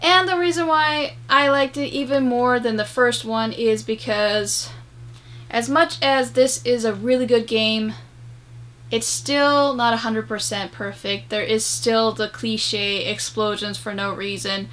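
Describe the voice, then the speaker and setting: Young woman chatting about movies on camera, bright sharp voice, kinda high, really emphatic. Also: steady tone, measured pace. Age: young adult. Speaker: video host and game reviewer. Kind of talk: casual movie review to camera. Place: small room at home, indoors.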